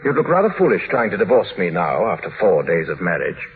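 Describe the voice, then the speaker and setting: An actor in an old radio drama voicing a cruel, mocking wife in a taunting, sardonic way, on a muffled vintage radio recording. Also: male voice, low-pitched, big pitch swings, brisk, a little hiss or hum. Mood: mocking triumph. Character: mocking, cruel wife quoted by her husband.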